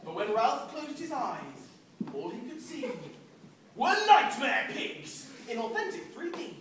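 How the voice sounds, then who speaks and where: A man, a children's author, reading a rhyming story aloud in an expressive, sing-song rhythm at a book event. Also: very high-pitched, measured pace. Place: large echoing room at a book event. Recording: echoey hall, distant mic, noisy.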